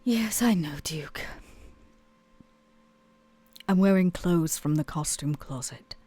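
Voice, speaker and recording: A woman's voice: low-pitched, lively intonation, normal speed, mellow. A woman playing a weary, proud club owner in a tabletop roleplay, speaking in a theatrical, hesitant way. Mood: wry.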